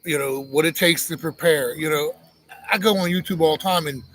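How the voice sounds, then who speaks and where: A man with a monotone voice co-hosting a casual live-stream chat, joking and rambling with frequent restarts. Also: on the high side, brisk, rough recording. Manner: teasing, rambling, many restarts. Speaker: homestead channel co-host.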